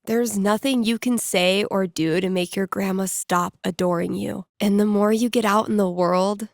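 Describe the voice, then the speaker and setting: A woman acting as a young small-town radio DJ from a video game, with an everyday medium-pitched voice in a very dry sound. She is wry and playful, chatting to her listeners in a conversational, teasing way. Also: steady tone, normal speed, creaky, no room sound, close to an everyday voice. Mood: gentle, wry storytelling. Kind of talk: casual local radio patter in a video game.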